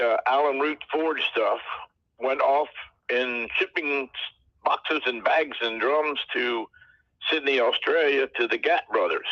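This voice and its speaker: A man's voice: medium pitch, no room sound. American guy calling in from his office, folksy joking storyteller, phone line sound, dull. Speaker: guest. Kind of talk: casual phone interview.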